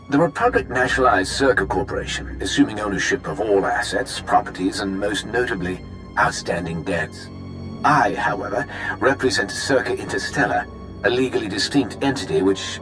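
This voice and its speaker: An actor playing a smooth, self-serving research executive in a science fiction game, with glib, wordy formality. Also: male voice, lowish voice, lightly acted, poor audio. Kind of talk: video game cutscene acting. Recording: music behind the voice.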